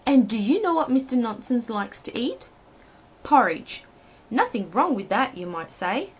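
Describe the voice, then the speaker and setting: A woman reading a children's story expressively, addressing the young listener with playful questions in a husky voice. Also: a little high, big pitch swings, measured pace. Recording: dull lo-fi home recording.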